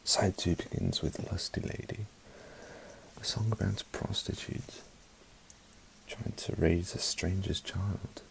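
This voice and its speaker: Soft-spoken man with a deep creaky voice. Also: steady tone, background noise. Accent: mixed British. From South Africa. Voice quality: soft, partly whispered. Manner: unhurried, rambling, wry asides. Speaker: album reviewer. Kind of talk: soft-spoken ASMR review.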